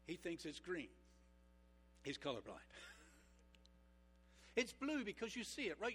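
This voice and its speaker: Man, pastor, high voice, sermon, rhetorical questions, slow emphatic delivery. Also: a bit bright. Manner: engaging, asks the congregation rhetorical questions; slows down for emphatic points.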